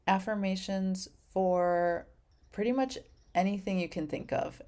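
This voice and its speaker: A woman hosting her own podcast, talking casually and encouragingly to listeners and reciting affirmations. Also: on the low side. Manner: gentle, encouraging, speaks in affirmations. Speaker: podcast host. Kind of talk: casual solo podcast talk.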